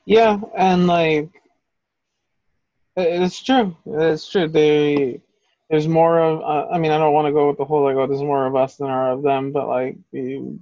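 A man's voice: fairly high pitch, little pitch movement, moderate pace, dark tone, no echo, muffled recording. A man co-hosting a podcast in casual chat, talking in a heated, profane, rambling way. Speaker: podcast co-host.

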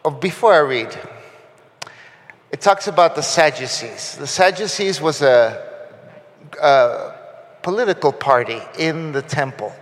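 A man's voice: on the high side, lively intonation, unhurried, long pauses, in a large hall. A man from Costa Rica giving a conversational sermon, with frequent fillers and restarts. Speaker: guest preacher. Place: church sanctuary.

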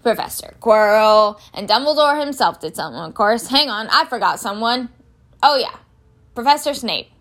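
A woman's voice: on the high side, animated, normal speed, fairly bright tone. Storybook role: hearty, bearded big man, proud groundskeeper, blurts things out in colloquial speech female reader acting him. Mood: proud, chatty.

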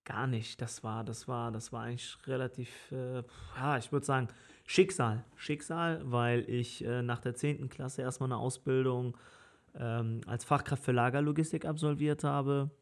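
A man's voice: medium pitch, steady tone, moderate pace. A man in his thirties, a party organizer, chatting casually on a podcast with lots of fillers and easy laughter. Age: 30s. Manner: easygoing, laughs often, many fillers.

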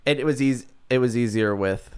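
A man's voice: fairly quick, clear, resonant tone. A young man, a songwriter guest, talking in an easygoing, agreeable way. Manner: easygoing, agreeable, lively pitch. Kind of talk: casual chat.

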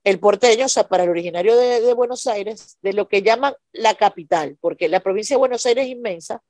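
A woman's voice: mid-range voice, fairly quick, a little mellow, no room sound. Venezuelan woman explaining in conversation, dry sound. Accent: Venezuelan, from Maracaibo. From Venezuela, Maracaibo. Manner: explanatory, often checks she is understood. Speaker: podcast guest, stand-up comedian. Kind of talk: conversational interview storytelling.

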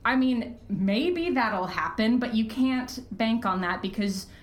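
A woman chatting casually as a podcast guest, candid and self-deprecating, quick to laugh. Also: a little high, animated. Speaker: podcast guest, fiction podcast creator and writer. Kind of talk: casual interview chat. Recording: roomy, echoey sound.

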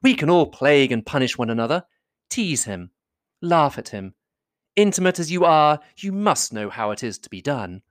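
A man's voice: a little high, big pitch swings, moderate pace, no room sound, clean studio sound, voice pushed up. A man voicing a witty, spirited young woman in a playful, teasing manner.

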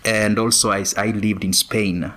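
A man from Kenya, a former professional runner, answering interview questions in a measured way with frequent pauses. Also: on the low side, little pitch movement, a bit bright. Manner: measured, many pauses and ums.

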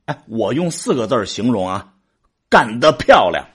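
A man acting a boastful, easily exasperated drinking buddy in a sci-fi radio play. His voice is very husky and rough, and it swings widely up and down as he grumbles and defends himself. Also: mid-range voice, sing-song, normal speed, a bit bright. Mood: exasperated, defensive. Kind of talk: Chinese sci-fi audio drama dialogue.

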